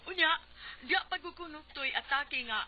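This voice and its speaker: A woman's voice: sits high, normal speed, close to an everyday voice. A woman with a Cebuano accent playing a gossiping, teasing neighbour in a comic radio drama. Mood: gossipy, shocked. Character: gossiping, teasing female neighbour relaying insults. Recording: dull radio recording, faint noise.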